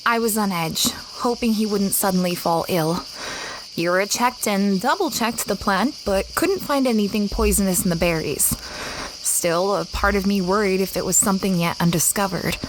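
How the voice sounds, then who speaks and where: A woman's voice: mid-range voice, normal speed, background noise, natural voice. A woman playing an adventurous young explorer who tells her story expressively in a first-person audio drama. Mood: wry, reflective. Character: adventurous young woman explorer recounting a strange expedition, fretful over her dog. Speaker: narrator and lead character. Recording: clear background ambience of jungle insects.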